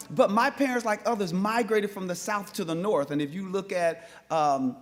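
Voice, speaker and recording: A man from North Minneapolis addresses a forum audience with fervent conviction. His voice sits a touch high, and he circles back on words as he pushes his point. He speaks as a public speaker rallying a room. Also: measured pace. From North Minneapolis, USA. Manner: impassioned, repetitive restarts. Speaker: panel speaker. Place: forum hall with live audience.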